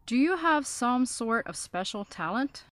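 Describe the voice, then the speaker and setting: A woman's voice: on the high side, lively intonation, fairly bright tone, no room sound. Woman interviewer in scripted test audio. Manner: measured, clear reading. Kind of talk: scripted listening-test dialogue.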